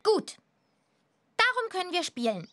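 A woman's voice: very high voice, very animated, measured pace, bright, forceful tone, full character voice. A woman playing a cocky, teasing punk teenage witch girl in a children's computer game, with a boastful, slangy way of talking. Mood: cocky, challenging. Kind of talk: animated children's game voice acting.

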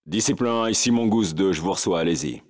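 Steady male soldier acted, lowish voice, easygoing, matter-of-fact, clipped military radio chatter, video game. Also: quick pace, close to an everyday voice.

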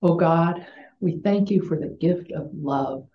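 An older woman with an American accent giving a gentle chapel talk to schoolchildren as a chaplain, in simple phrases, over a video call from a small room. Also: on the low side, unhurried, a little hiss or hum. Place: small home room with bookshelves. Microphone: computer mic on video call. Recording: roomy, dull video-call sound.

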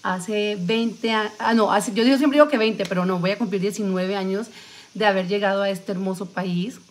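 A woman's voice: medium pitch, steady tone, moderate pace. A woman in her forties chatting casually on a live stream from a small room at home, answering viewer comments between her thoughts. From Colombia. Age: 40s. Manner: chatty, answers viewer comments as she talks, many fillers. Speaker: vlogger. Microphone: phone camera close up.

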